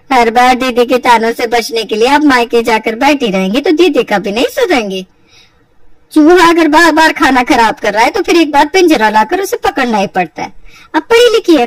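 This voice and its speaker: A woman acts a practical young relative in a cartoon family story. She sounds high and speaks frankly, like an adviser. The recording is dry and slightly off the microphone. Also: animated, normal speed, dry recording, distant mic, rough recording. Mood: coaxing advice. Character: practical young relative urging the daughter-in-law to act.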